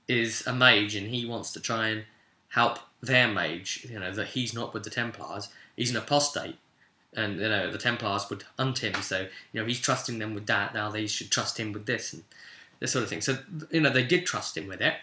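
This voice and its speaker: A British man recapping a tabletop role-play session as game master in a chatty, cheeky, animated storytelling style, with casual slang. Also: fairly low pitch. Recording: roomy, echoing room sound.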